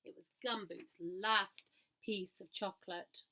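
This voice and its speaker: A woman reading a picture book aloud to children in an expressive way, pausing to ask her listeners questions. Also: medium pitch. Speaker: storyteller.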